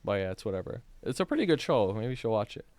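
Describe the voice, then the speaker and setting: A man's voice: lowish voice, very animated, quick pace, a little mellow, no room sound. A young man chatting casually as a streamer, blunt and sweary, with lots of slang. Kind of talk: casual chat.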